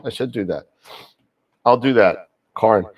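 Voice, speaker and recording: Casual male host, low voice, halting delivery, nursing a cold, mic set back. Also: distant mic, dull audio. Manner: halting, many fillers and restarts. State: getting over a cold, sniffling. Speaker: host of astronomy live show. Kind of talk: casual live-stream chat.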